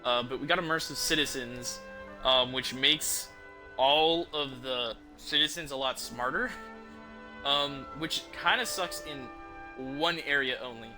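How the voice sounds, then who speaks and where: A man giving casual live stream commentary as a game streamer, talking in an informal, enthusiastic, rambling way. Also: fairly high pitch.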